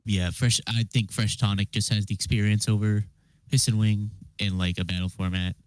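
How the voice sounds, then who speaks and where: A man's voice: fairly low pitch, level tone, normal speed, smooth, no echo. A man speaking casually as a panelist in a podcast discussion. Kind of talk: casual chat.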